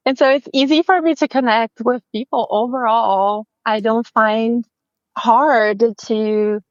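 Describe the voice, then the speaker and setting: Brazilian-accented woman, interview guest; warm, chatty, unhurried, dull dry recording. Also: a little high, fairly even intonation, no echo. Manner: friendly, chatty, many fillers and restarts. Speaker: family photographer, guest. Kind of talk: casual interview chat.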